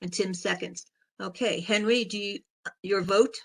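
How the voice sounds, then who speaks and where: A woman with a hoarse, raspy voice chairing a board meeting, talking haltingly with many fillers. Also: medium pitch, fairly even intonation, a bit bright.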